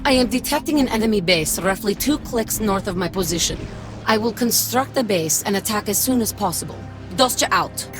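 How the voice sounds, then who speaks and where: An actress playing a disciplined, wary military commander who speaks formally and precisely in a video game. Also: female voice, mid-range voice, animated, brisk, music in the background, close to an everyday voice. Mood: focused, formal. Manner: formal, precise wording without contractions. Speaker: field commander. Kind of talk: scripted game cutscene dialogue.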